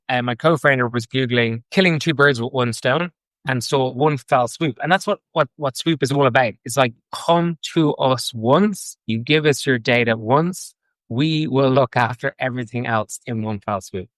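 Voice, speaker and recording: A man from Ireland with an Irish accent, a guest in an interview, talking fast and eagerly with frequent restarts. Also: mid-range voice, a bit bright, no room sound. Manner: enthusiastic, fast, repeats words and restarts. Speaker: guest, fintech co-founder. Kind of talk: casual interview talk. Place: London.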